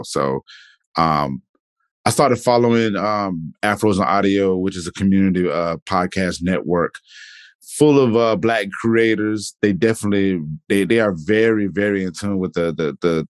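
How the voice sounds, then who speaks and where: A man with a Jacksonville, Florida accent hosts a podcast, talking in a relaxed way with lots of fillers and restarts. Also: low-pitched, no echo. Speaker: podcast host. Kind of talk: casual interview intro.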